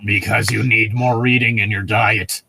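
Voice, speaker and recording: A man's voice: on the low side, flat delivery, moderate pace, gravelly, sharp tone, rough recording. A young man co-hosting in casual banter, wry and sarcastic, with exaggerated reactions and riffs. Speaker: co-host commenting on fan fiction.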